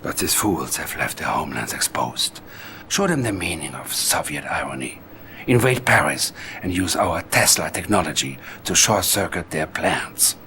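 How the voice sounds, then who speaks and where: An actor voicing a sinister, silky Soviet psychic advisor in a game cutscene, with a Russian accent, hushed and deliberate in his menace. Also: low voice, sing-song, a little hiss or hum, full character voice. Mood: coldly scornful. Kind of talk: video game cutscene acting. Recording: loud music under the voice.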